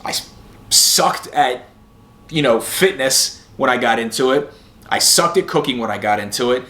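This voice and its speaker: A man's voice: mid-range voice, animated, normal speed, bright, forceful tone. A man hosting a motivational vlog, talking casually in a rambling, blunt, informal way and swearing freely. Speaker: host of a sobriety motivation video series. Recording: roomy, echoey sound.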